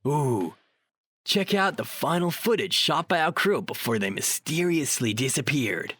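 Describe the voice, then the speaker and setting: A man's voice: on the high side, lively intonation, a little slow, fairly bright tone. An actor playing a bossy, wisecracking ghost hunter in an audio drama, flippant and emphatic in his delivery. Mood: bossy, urgent.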